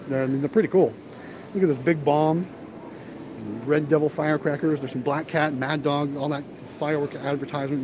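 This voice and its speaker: A man's voice: fairly high pitch, noisy background. A man hosting a casual livestream, chatting to viewers as he walks a large warehouse auction. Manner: chatty, addresses viewers as guys. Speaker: vending business vlogger and livestream host.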